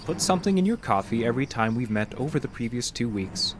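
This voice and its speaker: Guy acting a creepy calm counselor villain in a horror audio drama, smug and taunting, noisy backdrop. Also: mid-range voice, natural voice. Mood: coolly confiding. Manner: condescending, deliberate, taunting. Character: sinister, calmly menacing male counselor secretly drugging his patient.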